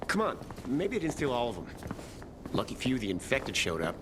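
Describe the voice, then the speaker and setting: An actor playing a shaken but breezy resistance comrade in a video game, speaking casually in clipped phrases. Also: male voice, mid-range voice, sing-song, brisk, background noise, natural voice. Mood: shaken, scornful. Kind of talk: video game cutscene acting.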